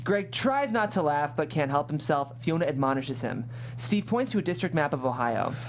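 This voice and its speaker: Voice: medium pitch, animated, normal speed. A person narrating stage directions in a scripted read at a table read. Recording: hum and background noise.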